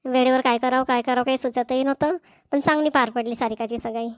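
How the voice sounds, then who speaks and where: A woman's voice: high voice, flat delivery, quick pace, lightly acted. A woman voicing a chatty, persuasive middle-aged mother in a cartoon family comedy, with a Varhadi Marathi accent. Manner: coaxing, repetitive.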